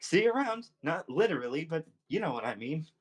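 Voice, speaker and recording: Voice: mid-range voice, very animated, a little fast. A performer voicing a cheerful, reckless little creature in a cocky, folksy way for a story game.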